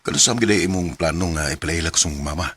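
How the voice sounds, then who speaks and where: An actor playing a weary, frustrated young boyfriend in a Cebuano radio drama, speaking seriously. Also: male voice, low-pitched, fast talker, over loud music, natural voice.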